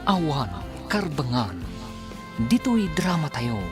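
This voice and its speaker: A man's voice: on the high side, sing-song, slow pace. A man announcing a radio drama serial in a dramatic, scripted style. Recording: music behind the voice.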